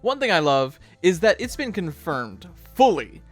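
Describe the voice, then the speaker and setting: A man's voice: high-pitched, sing-song, unhurried, soft background music. A man co-hosting a fan show, delivering scripted back-and-forth commentary.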